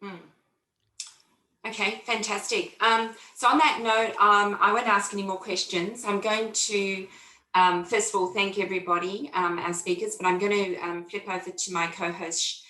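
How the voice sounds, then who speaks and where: A woman's voice: mid-range voice, level tone, normal speed, sharp tone. A woman moderating a seminar Q&A over a video call, enthusiastic and chatty. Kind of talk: moderating a panel Q&A. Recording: echoey room on a call.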